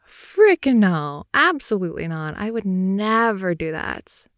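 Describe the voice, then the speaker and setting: A young woman improvising an intimate ASMR girlfriend roleplay, rambling in a playful, slangy way and slipping between soft whispers and more animated talk, close to the mic. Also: mid-range voice, slow pace, no echo. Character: wide-awake chatty girlfriend, silly and playful. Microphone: close microphone, dry sound.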